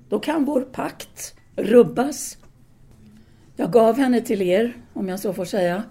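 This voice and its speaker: An actress playing a possessive, commanding French intellectual woman voicing a letter to her lover in an audio drama, measured, formal and insistent. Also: fairly low pitch, mellow, natural voice. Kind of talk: audio drama monologue, letter read aloud.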